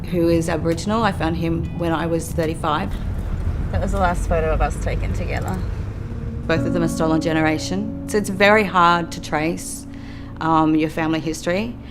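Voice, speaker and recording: A middle-aged Australian woman telling her own story in an earnest, reflective, steady way. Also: fairly low pitch. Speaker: youth worker and law graduate. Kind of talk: personal testimony for a documentary. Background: soft music.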